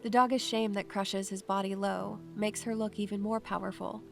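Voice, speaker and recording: Actress as creaky-voiced, literary woman narrator. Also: medium pitch, little pitch movement, normal speed, faint music behind, natural voice. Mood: tense, hushed. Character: anxious, observant first-person narrator, woman. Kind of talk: scripted dramatic narration.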